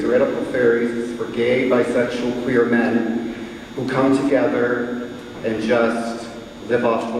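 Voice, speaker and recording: Slow chatty guy talking to a crowd between songs, far off mic, big echoey hall. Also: mid-range voice, a little mellow, a little hiss or hum. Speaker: singer and composer. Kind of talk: live concert talk and singing. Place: performance hall. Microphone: room mic at a distance. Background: instrument music.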